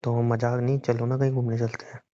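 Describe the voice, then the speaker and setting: Mellow teasing young man on phone. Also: medium pitch, level tone, fairly quick. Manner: teasing, joking. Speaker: boyfriend on a phone call. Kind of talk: casual phone chat. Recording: dull lo-fi call recording.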